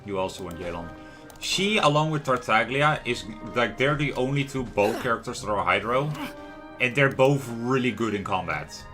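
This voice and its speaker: A man's voice: medium pitch, animated, moderate pace, sharp tone. A man giving casual, rambling gameplay commentary as a livestream host, chatting to viewers as he goes. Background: lo-fi music and game sound.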